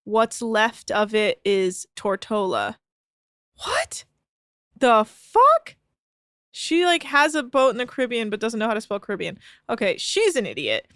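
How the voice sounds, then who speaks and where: A woman's voice: on the high side, sing-song, measured pace, a bit bright, smooth, dry recording, clean studio sound. A woman co-hosting a casual podcast chat, reading posts aloud with amused disbelief. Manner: amused, incredulous, laughing. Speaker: podcast co-host.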